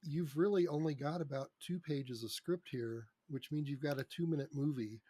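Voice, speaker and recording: A man with a husky voice talks casually as an interview guest. He is blunt and wry, pausing and restarting as he goes. The sound is dry, with no room echo. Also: fairly high pitch, warm tone. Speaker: podcast guest, script reader and critic.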